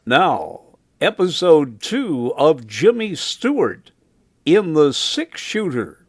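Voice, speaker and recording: A man's voice: a little high, sing-song, takes it slowly. A man hosting a radio programme, delivering a scripted introduction. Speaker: host. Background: music.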